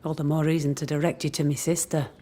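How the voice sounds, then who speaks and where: A woman's voice: low-pitched, level tone, fast, warm tone. A hard, resentful woman who leads colonial settlers in a video game. She speaks curtly and with command, in old-fashioned phrasing. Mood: guarded. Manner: curt, commanding, archaic phrasing. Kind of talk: dramatic game dialogue.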